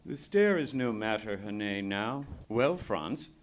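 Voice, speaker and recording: An actor playing a calm, commanding intelligence chief in a live radio play, measured and deliberate. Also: male voice, on the low side. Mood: calm assurance. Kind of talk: live old-time radio play acting.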